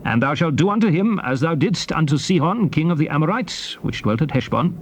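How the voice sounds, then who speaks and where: An actor playing a solemn old prophet recounting conquests to his people, in declamatory, measured theatrical scripture style. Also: fairly high pitch, big pitch swings. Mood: commanding. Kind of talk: dramatized scripture reading, theatrical oratory. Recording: faint noise from old cassette tape.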